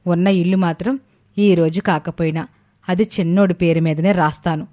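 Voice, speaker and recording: A woman's voice: on the low side, steady tone, moderate pace, dark tone, close to an everyday voice. A woman playing a stern, aggrieved older mother in a cartoon, reproachful and firm.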